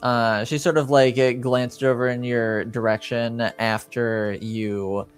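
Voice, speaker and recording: A man narrating a tabletop game as game master, improvising haltingly as he searches for words. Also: medium pitch, flat delivery, smooth, soft background music.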